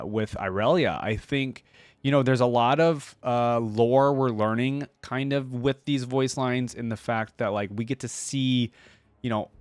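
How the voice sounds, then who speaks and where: Man, lower register, casual lore commentary, thinking out loud, informal, very dry recording. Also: dry recording. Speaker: reaction video host.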